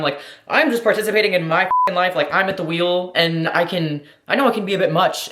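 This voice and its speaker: A woman's voice: on the low side, a bit bright. An American woman chatting casually in a Q&A vlog, effusive and slangy, swearing freely and peppering everything with "like". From United States. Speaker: vlogger answering questions. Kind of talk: casual Q&A chat. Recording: roomy echo.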